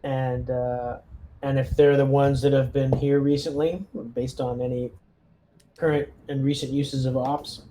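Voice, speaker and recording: A man's voice: medium pitch, little pitch movement, measured pace, warm tone, slight background noise, poor audio. A man casually talking through plans as a player in a tabletop roleplaying game.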